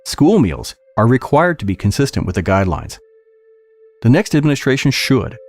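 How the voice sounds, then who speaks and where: Expressive male narrator, music underneath. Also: mid-range voice. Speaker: narrator and podcast reader. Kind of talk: scripted audiobook-style reading of a policy document.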